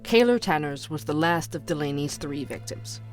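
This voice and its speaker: A man playing an inquisitive true-crime podcast host, narrating in a measured, probing way. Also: a little high, animated, faint music behind, lightly acted, rough recording. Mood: grave, suspenseful.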